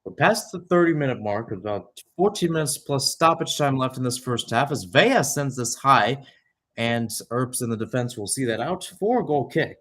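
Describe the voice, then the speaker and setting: A man's voice: mid-range voice, lively intonation, normal speed, a bit bright. A young man giving live radio-style soccer play-by-play, drifting into conversational, rambling asides and correcting himself as he goes. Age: young adult. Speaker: play-by-play commentator.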